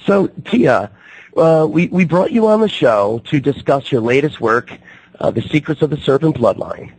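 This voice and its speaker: A man hosting a radio talk show, his voice still recovering from being lost, talking haltingly with many fillers and restarts. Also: on the high side, animated, darkish tone, breathy edge, no echo, rough recording.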